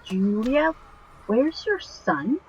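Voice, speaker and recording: A woman acting a curious, apologetic and gently teasing girlfriend in an audio drama, with a husky, breathy voice in a dull recording. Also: high-pitched, big pitch swings, very slow, slight background noise, heavily acted. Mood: alarmed.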